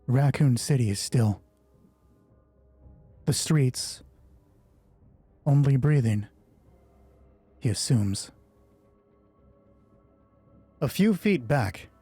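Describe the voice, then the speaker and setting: A man hosts a solo tabletop roleplay in a dark, relaxed voice. He shifts between measured story narration and casual commentary, with faint music underneath. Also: mid-range voice, slightly rough, no room sound.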